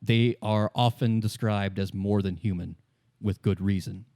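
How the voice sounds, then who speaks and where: A man narrating as game master, explaining fictional lore in a measured, solemn and deliberate storytelling manner. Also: fairly low pitch, fairly even intonation, dark tone, dry recording, studio quality. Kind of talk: improvised lore exposition in a tabletop game.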